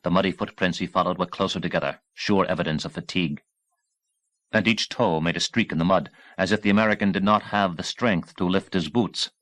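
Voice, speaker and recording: Low-voiced man narrating a dramatic action novel. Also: fairly even intonation, a little fast, fairly bright tone, no room sound. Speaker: audiobook narrator.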